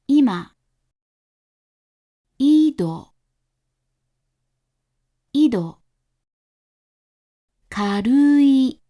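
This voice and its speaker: A woman saying single example words one at a time, slowly and distinctly, for a pronunciation lesson. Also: high voice, warm tone. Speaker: native speaker giving example words. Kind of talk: slow, careful word pronunciation examples.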